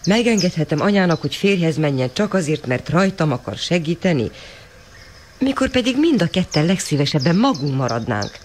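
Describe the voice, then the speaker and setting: Earnest schoolboy, lively intonation. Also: female voice, low voice. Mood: troubled. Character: earnest, devoted schoolboy troubled about his mother. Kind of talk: radio drama acting. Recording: noisy 1980 radio drama recording.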